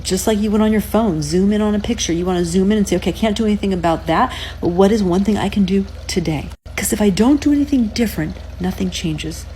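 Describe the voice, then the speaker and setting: Woman, mellow tone, earnest coach asking rhetorical questions. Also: medium pitch, quick pace, a little hiss or hum. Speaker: relationship advice creator. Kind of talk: casual advice talk.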